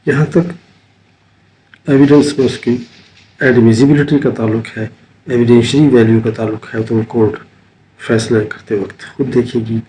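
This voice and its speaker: An older Pakistani man lectures on law in a dark, mellow voice at an ordinary male pitch. He explains haltingly, dropping in English terms. There is a faint-to-clear wash of background noise behind him. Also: fairly even intonation. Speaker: retired judge teaching law. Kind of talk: informal legal lecture. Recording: clearly noisy background ambience.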